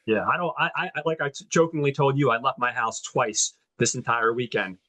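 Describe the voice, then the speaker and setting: A man's voice: mid-range voice, brisk, pushed and bright. A man co-hosting a movie podcast, chatting in an upbeat, enthusiastic way and often repeating words as he starts. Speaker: podcast co-host. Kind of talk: casual chat.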